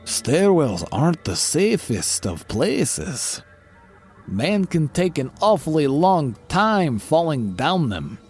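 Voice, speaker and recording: A man's voice: on the high side, very animated, darkish tone, soft background music, heavily acted. A man playing a stern, commanding super-soldier team leader who threatens coldly. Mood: menacing. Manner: cold, slow, threatening.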